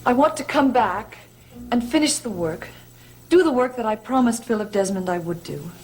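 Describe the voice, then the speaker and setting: Actress as earnest, high-voiced pleading gothic heroine. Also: female voice, lively intonation, normal speed, a little mellow, natural voice, rough recording. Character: earnest, pleading, apologetic lady researcher returning to mansion. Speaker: actress in a gothic television soap opera. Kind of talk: melodramatic soap opera acting. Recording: old TV soundtrack with music behind.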